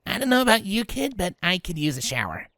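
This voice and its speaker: A woman's voice: medium pitch, sing-song, a little fast, a bit bright, no room sound, studio quality. A woman voicing a brash but caring young female pegasus pony in a fan fiction audio drama, with casual, slangy delivery. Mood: casual, tired. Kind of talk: fan fiction character acting.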